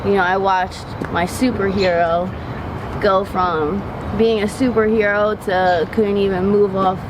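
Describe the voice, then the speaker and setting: A woman's voice: medium pitch, little pitch movement, a little slow. A woman in her thirties from Long Island, talking candidly in an outdoor interview, rambling with many ums and restarts. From Long Island, New York. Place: city street outdoors. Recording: noisy street with traffic. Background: passing traffic.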